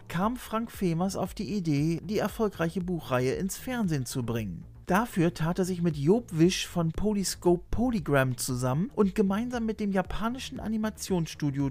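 A man's voice: fairly high pitch, darkish tone. A middle-aged man presenting a scripted video essay as host of a retro TV channel, recorded on a desk mic in a small room. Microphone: desk microphone. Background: music.

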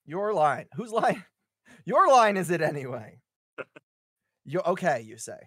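A woman's voice: on the low side, big pitch swings, unhurried, a bit bright, husky, no room sound, studio quality. A woman chatting casually and jokingly during an online script read on a group video call. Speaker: table read participant.

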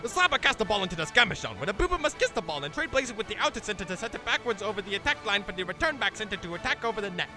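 A man's voice: sits high, sing-song, very fast, hardly any pauses, over music. A man voicing a pompous, haughty cartoon referee who declaims with contempt for children. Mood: brisk, officious. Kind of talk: cartoon parody acting.

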